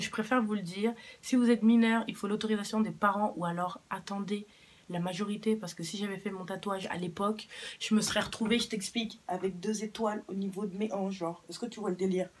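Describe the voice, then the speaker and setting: A woman's voice: medium pitch, breathy edge. A young woman talking casually to camera in a vlog. She speaks frankly, with plenty of fillers and the odd swear word. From Cuba. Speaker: vlogger.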